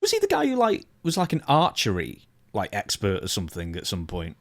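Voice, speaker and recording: A man's voice: on the low side, huge pitch swings, a little fast. A man co-hosting a casual interview, asking questions in a conversational, self-correcting way. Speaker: podcast co-host asking questions.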